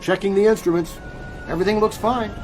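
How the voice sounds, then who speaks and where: An actor playing an eager, dutiful airline co-pilot in a radio drama. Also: male voice, high-pitched, fairly even intonation, quick pace, darkish tone, faint music behind, natural voice. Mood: puzzled, attentive.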